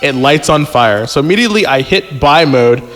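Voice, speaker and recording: A man's voice: a little high, lively intonation, normal speed, fairly bright tone. A man hosting a podcast, reading a story aloud casually, stumbling over the text and breaking off to joke and comment. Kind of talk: casual read-aloud with joking banter. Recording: long reverb, music underneath. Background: music.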